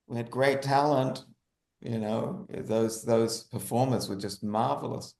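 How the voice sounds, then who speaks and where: A man's voice: medium pitch, fairly even intonation, unhurried, vocal fry, darkish tone. A man answering casually as an interview guest, repeating phrases for emphasis. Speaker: guest, writer. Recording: echoey room.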